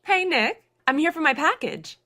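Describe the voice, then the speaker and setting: An actress playing a cheerful, flirtatious secretary who is secretly a Soviet agent. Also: female voice, high voice, lively intonation, moderate pace.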